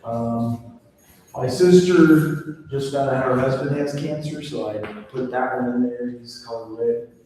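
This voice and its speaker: Man in his forties, casual instructor with personal asides, booming echo, mic far away. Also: unhurried, dark tone, a little hiss or hum. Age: 40s. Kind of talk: casual classroom lecture. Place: classroom. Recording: echoey hall recorded from far off.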